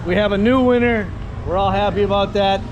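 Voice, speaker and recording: A hoarse man losing his voice, chatting casually to camera as a vlogger and greeting friends around him. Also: high voice, a little fast, lo-fi sound, very noisy. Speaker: vlogger hosting his channel. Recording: loud music and crowd behind.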